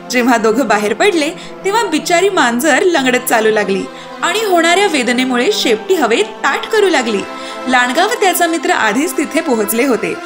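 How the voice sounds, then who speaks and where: A woman narrating a scripted children's fairy tale as an expressive storyteller. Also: sits high. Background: music.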